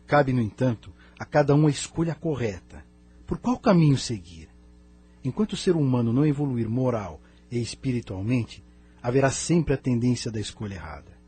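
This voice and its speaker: Male actor as venerable spirit counsellor, very breathy rasp, mellow tone, Brazilian accent, sober, didactic, audio novela delivery. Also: mid-range voice, expressive, normal speed, faint music behind. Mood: sober, counselling. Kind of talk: scripted audio drama dialogue.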